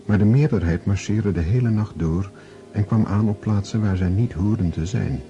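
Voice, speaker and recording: A man's voice: fairly low pitch, moderate pace, dark tone, soft background music. A man reading scripted literary narration as the narrator of a radio drama. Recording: dull lo-fi old radio recording.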